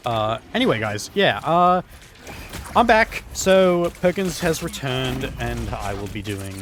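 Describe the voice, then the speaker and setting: Hoarse rambling man telling absurd tales. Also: mid-range voice, slow pace, soft background music. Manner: rambling, filler-heavy, absurd deadpan tales. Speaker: gaming YouTuber. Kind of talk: rambling story over gameplay.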